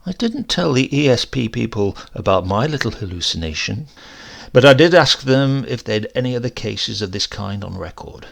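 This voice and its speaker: A man reading a first-person horror story aloud in a dramatic, confiding and expressive manner. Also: medium pitch, gravelly. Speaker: story reader.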